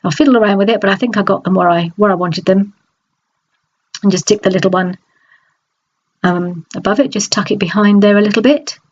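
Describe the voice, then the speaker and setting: A woman with a stuffy, nasal voice from a cold, chatting in a relaxed voice-over about a craft project, with many small restarts. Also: medium pitch, fairly quick, pauses often, lo-fi sound. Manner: chatty, hesitant, frequent restarts. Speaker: scrapbooking crafter. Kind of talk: process video voice-over.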